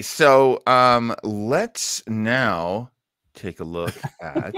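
A man's voice: medium pitch, fairly bright tone, clear, resonant tone, dry recording, studio quality. A middle-aged man hosting a show on a video call, reading quotes aloud and introducing clips. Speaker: podcast host. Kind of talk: casual chat on a video call. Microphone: headset and studio mic.

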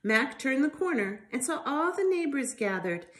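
Middle aged lady doing storytime for little kids, kinda high voice, super expressive up and down. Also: normal speed. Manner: animated, emphatic delivery with sound effects. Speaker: children's storybook reader. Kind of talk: expressive read-aloud storytelling for young children. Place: living room by a fireplace, indoors.

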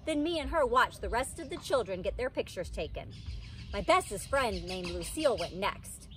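A woman's voice: a little high, moderate pace. A young woman reading a children's book aloud like a teacher, expressively acting out the characters. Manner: animated, acts out the characters. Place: outdoors under a tree, in a wicker pod seat. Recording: outdoor background noise.

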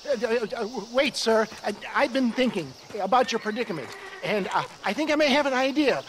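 A man's voice: very high-pitched, expressive, fairly quick, fairly bright tone, slight background noise. An actor playing a verbose older con man in a western game, ingratiating and flowery, with hesitant starts. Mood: ingratiating, scheming. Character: wheedling, verbose old con-man salesman. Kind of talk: video game western acting.

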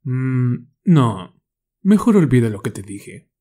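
A man playing a calm, reassuring adult teacher in an anime fandub, measured in delivery. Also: mid-range voice, soft background music.

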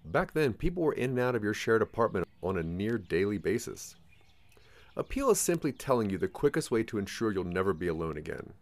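A man's voice: on the low side, very animated, fairly quick, no room sound. A man narrating a scripted second-person audio drama in a theatrical, ominous storytelling manner.

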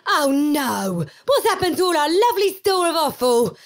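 A fussy old mother bear played by a woman in a comic stage audio play. The voice is high and very lively, fretful and theatrical, with a streak of menace. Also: normal speed, slight background noise. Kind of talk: live comedy audio drama performance. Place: small theatre stage before an audience. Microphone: microphone on a stand.

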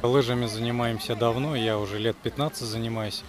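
A man in his thirties, a parent, talking outdoors in the snow in a plain, halting way. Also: medium pitch, steady tone, crowd noise.

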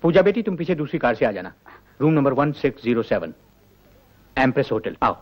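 An actor playing a stern, commanding, impatient grandfather in Hindi film melodrama, with a husky voice. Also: medium pitch, very animated, fairly quick. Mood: impatient, brisk. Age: older. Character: stern, hot-tempered grandfather guarding a family secret. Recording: dull lo-fi film soundtrack, faint music.

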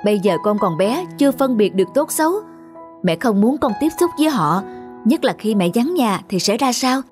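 A woman's voice: fairly high pitch, lively intonation, normal speed, a little mellow, faint music behind, lightly acted. Woman as a protective young mother. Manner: earnest, admonishing.

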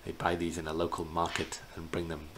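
Middle-aged male tour guide, very low voice, informal slideshow narration with hesitant phrasing, faint noise on the recording. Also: completely flat delivery, brisk. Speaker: bird tour guide presenting a virtual tour. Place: indoors in a small plain room. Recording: clear background noise.

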